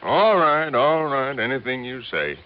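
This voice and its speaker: An actor playing a stubborn, plain-spoken police chief in an old radio drama, folksy and matter-of-fact. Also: male voice, mid-range voice, sing-song, a little slow, very noisy. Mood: puzzled, cooperative. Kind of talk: 1950s radio drama acting. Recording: dull old radio recording.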